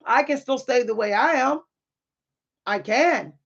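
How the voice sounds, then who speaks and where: A woman with a deep, at times loud voice, speaking in a bold, sassy way with dry humor and repeating phrases for emphasis in a motivational monologue. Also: unhurried, breathy edge, highs cut off. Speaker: spiritual content creator and writer.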